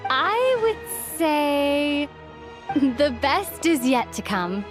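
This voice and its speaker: A woman voicing a composed, dryly teasing young traveler in a game cutscene, measured and wry. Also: high-pitched, big pitch swings, over music, exaggerated voice. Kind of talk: animated game cutscene acting.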